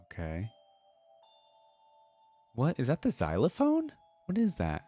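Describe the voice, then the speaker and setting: A man's voice: mid-range voice, wildly swooping pitch, slow, dark tone. A man giving casual reaction commentary in an enthusiastic, conversational way, as if thinking aloud. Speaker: reaction video creator. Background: background music.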